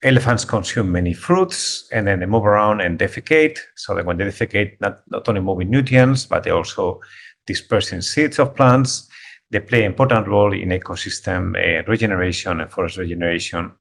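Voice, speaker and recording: A man's voice: sits low, gravelly, a bit bright. A middle-aged man from Spain with a Spanish accent, giving a talk over a video call, often repeating words as he searches for how to put things. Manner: halting, repeats words while searching for phrasing. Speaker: guest speaker, conservation biologist. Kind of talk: online talk.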